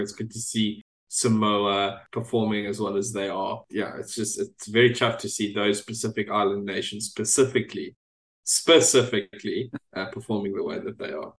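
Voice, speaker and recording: A man's voice: on the low side, fairly bright tone, poor audio. A man from South Africa co-hosting a loose sports podcast. He talks in a chatty way, full of "uh" and "I mean", and keeps asking "right?" for agreement. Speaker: podcast co-host. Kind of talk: casual sports chat.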